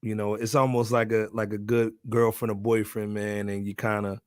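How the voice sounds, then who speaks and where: A man's voice: lowish voice, level tone, normal speed, smooth, no echo, clean studio sound. An American man co-hosting a podcast with casual, opinionated banter, full of slang and "man". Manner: slangy, peppers talk with "man". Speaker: podcast co-host.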